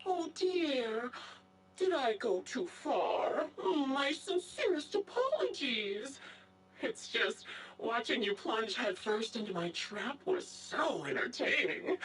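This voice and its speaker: An actress voicing a sadistic, gloating female sniper villain in a video game, mocking and theatrical, with drawn-out false politeness. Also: high voice, big pitch swings, recorded from a distance, slight background noise, full character voice, poor audio. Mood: mocking, amused. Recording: music behind the voice.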